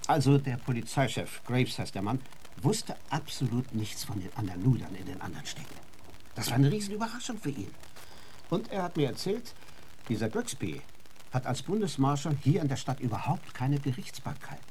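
A man's voice: medium pitch, sing-song, fairly quick, a little mellow, a little hiss or hum. A man playing a slick, unscrupulous tour manager in a German radio drama, cajoling in a glib, familiar way. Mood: glib, reassuring.

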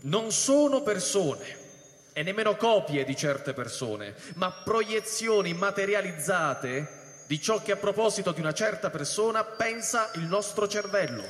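An actor playing a formal, pedantic scientist in a radio drama, speaking in stiff, precise, lecturing phrases in a reverberant space. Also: male voice, high-pitched, expressive, unhurried, fairly bright tone. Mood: formal, detached. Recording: echoey hall with long reverb.

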